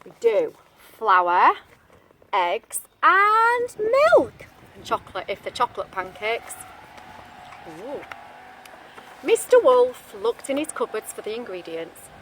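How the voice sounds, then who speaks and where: A woman with a high, swooping voice reads a picture book to little children. She is expressive and keeps adding chatty asides and questions, like a teacher. There is faint noise behind her. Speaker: storybook reader, teacher-like. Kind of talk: reading a picture book aloud to young children.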